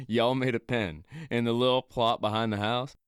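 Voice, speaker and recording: An actor with a rural Texas accent playing a gruff, wry, injured uncle back in his hometown, in naturalistic radio drama. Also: medium pitch, fairly even intonation, unhurried, dry recording, studio quality, close to an everyday voice. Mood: gruff, encouraging. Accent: Southern US, rural Texas. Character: world-weary injured rodeo man returning home, gruff, wry uncle.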